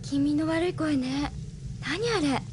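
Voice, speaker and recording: A caring young rebel crewmate in an anime radio drama. Her voice is high and sensitive, with faint noise in the background. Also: lively intonation, slow. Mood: uneasy, concerned. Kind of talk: Japanese anime audio drama acting.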